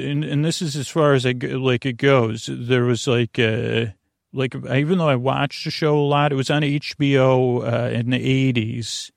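A man hosting a bedtime podcast in a halting, meandering monologue that circles back on itself, with a gravelly voice. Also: medium pitch, no room sound, studio quality. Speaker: podcast host.

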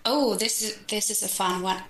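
A woman co-hosting a podcast, chatting casually and reading out trivia informally, a little haltingly. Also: medium pitch, fairly bright tone. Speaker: podcast co-host. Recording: echoey room with faint noise and clicks.

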